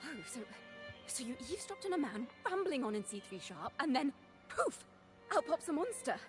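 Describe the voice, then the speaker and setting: A woman's voice: high voice, big pitch swings, normal speed. A woman voicing a breezy, playful young female bard in a video game, with a theatrical, teasing storyteller's manner. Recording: game music behind the voice.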